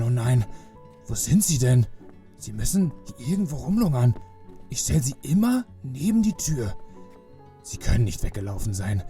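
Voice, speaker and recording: Santa Claus for a Christmas radio play, acted by a man: agitated, mellow, swooping wildly in pitch. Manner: muttering to himself, agitated. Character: distraught, fretting Santa Claus who lost his magic boots. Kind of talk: family Christmas audio play.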